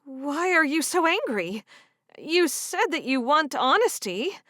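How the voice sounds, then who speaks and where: A woman's voice: sing-song, slow pace, pushed and bright, no echo, clean studio sound, heavily acted. A woman playing a bold, defensive young woman. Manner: pleading, high and emphatic.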